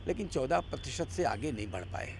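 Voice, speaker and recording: A man's voice: mid-range voice, big pitch swings, brisk, gravelly, slight background noise. A man giving political commentary as an interview guest, arguing emphatically with repeated phrases and rhetorical questions.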